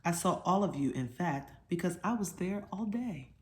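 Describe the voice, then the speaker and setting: Woman plays storybook mother, low-leaning voice, gentle, patient, encouraging with her kids. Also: expressive, normal speed, natural voice.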